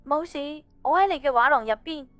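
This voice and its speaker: Audiobook role: actress as young woman, casual and understated, rather high voice, close dry recording. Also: lively intonation, quick pace, no echo, faint music behind. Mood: wistful, hesitant. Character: young woman, wistful, casual, teasing friend. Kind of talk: audiobook drama dialogue.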